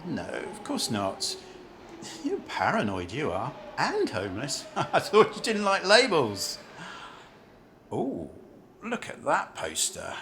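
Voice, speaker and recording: A man acting as a brusque city banker in an amateur radio play, with a very animated, swooping delivery. He grumbles and questions bluntly, and there is faint noise on the recording. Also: on the high side, slow pace, heavily acted. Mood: probing, teasing, then grumbling. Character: brusque, chatty city banker waiting for a train.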